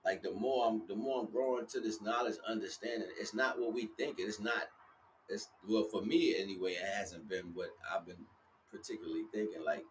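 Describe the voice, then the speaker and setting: A man's voice: lowish voice, a little fast, a little hiss or hum, rough recording. A man giving a casual, freeform spiritual talk as a livestream host, repeating himself and often checking that listeners follow. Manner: repeats himself with frequent conversational check-ins. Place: outdoors.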